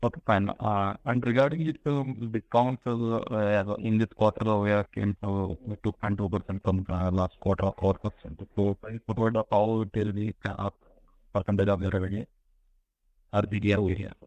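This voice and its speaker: A man with an Indian accent speaks as an analyst on a conference call. His voice is low and flat, and he gropes for words as he asks. The sound is dry and close. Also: dry recording, lo-fi sound. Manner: halting, searching for words. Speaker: investment analyst caller. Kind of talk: business questioning on a call. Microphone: conference call line.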